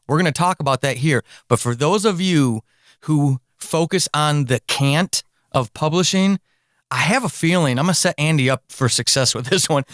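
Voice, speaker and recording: A man with a slightly higher-set voice hosts an interview podcast. He talks effusively and leans on his words with emphasis. The sound is dry, with no room on it. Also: no room sound. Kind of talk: enthusiastic podcast interview talk.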